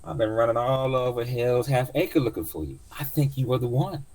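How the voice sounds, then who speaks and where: A man's voice: lively intonation, fairly quick, darkish tone. A man voicing an older woman taxi driver, mystical and sassy, who calls everyone darling in a drawling, folksy way. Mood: knowing. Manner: drawling, folksy sayings, high put-on voice.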